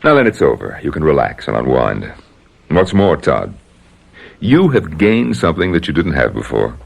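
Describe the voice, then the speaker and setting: Deep, gravelly spy chief. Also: male voice, sing-song, moderate pace, heavily acted. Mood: reassuring, pleased. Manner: assured, placating, explanatory. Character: male spy chief, smooth, commanding, in control. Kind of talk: radio drama acting.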